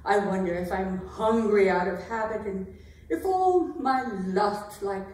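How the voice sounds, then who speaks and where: A woman's voice: mid-range voice, measured pace, darkish tone, lightly acted. Woman acting a weary medieval queen, very echoey. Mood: weary, bitter. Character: weary, wounded, sharp-tongued medieval queen and wife. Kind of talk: dramatic staged reading of a classic play. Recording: very echoey room, distant microphone.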